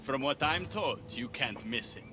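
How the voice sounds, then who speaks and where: An actor as a suave, flirtatious rebel leader. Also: male voice, mid-range voice, animated, unhurried, over music, natural voice. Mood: assured. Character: suave, flirtatious male rebel leader in mythic Greek afterlife. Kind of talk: video game cutscene dialogue.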